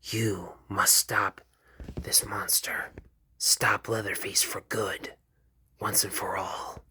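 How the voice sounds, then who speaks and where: A man voicing a cold, commanding woman boss, speaking in a slow, hushed, breathy whisper for a fan-made cartoon. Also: fairly low pitch. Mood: calm, ominous. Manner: slow, calm, ominous.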